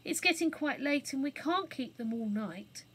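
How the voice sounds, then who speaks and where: A woman voicing a gentle, easily worried young girl in a children's storybook, earnest and pleading in manner. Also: high voice, expressive, moderate pace, pitched above the performer's own voice. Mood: mournful.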